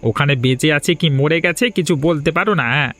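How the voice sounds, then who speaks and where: A man's voice: on the high side, quick pace, fairly bright tone, close to an everyday voice. A man playing a scheming, menacing bandit and kidnapper in a Bengali cartoon. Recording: music bed under the voice. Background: background music.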